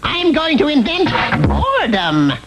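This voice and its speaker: A high-voiced wicked witch, scheming and gloating in classic cartoon style. Her delivery swings wildly up and down. The old TV recording is clearly noisy. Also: huge pitch swings, measured pace, muffled recording, full character voice. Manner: gloating, rhyming incantations, cackles. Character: scheming, cackling wicked witch in a fairy tale.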